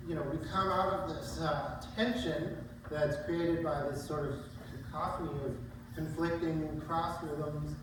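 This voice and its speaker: A man's voice: fairly high pitch, fairly even intonation. Easygoing drummer man in an echoey hall. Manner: easygoing, halting, restarts words, fillers. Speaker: drummer answering questions after a performance. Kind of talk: informal talk to an audience. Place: lecture hall with audience. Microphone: distant room mic. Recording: echoey hall from far off, noisy.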